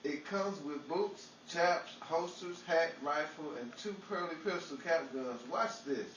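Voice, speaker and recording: A bumbling, childish husband played by a man boasts in a highish voice, radio comedy style. The recording is echoey and distant with clear noise. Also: a little slow, fairly bright tone, muffled recording. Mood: defensive. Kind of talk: old-time radio comedy acting.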